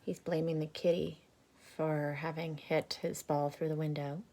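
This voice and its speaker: A woman reading a picture book aloud to children, adding gentle asides and questions for her listeners. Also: sits low, little pitch movement, moderate pace. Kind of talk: read-aloud storytime with commentary for children.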